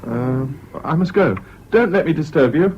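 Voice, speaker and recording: An actor plays a well-mannered young English man in a radio play, hesitant and mildly sceptical. His mid-pitched voice moves up and down a lot, on a muffled old recording with faint hiss. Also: animated, moderate pace, close to an everyday voice. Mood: polite, awkward. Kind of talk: 1970s BBC radio drama acting.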